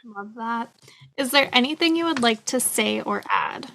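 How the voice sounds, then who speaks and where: A woman's voice: on the high side, expressive, normal speed, creaky, fairly bright tone, a little hiss or hum. A woman hosting an author interview, asking questions in a conversational style. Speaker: podcast interview host.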